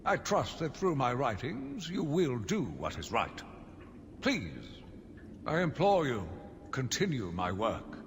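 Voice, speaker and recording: A zealous, deluded asylum warden for a game. His delivery is grandiose and deliberate, very slow and full of swelling pitch movement. A long reverb hangs over his theatrical diary confession. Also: wildly swooping pitch, full character voice. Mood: grandiose fervor. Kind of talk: dramatic first-person game monologue. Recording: long reverb, clearly noisy ambient backdrop.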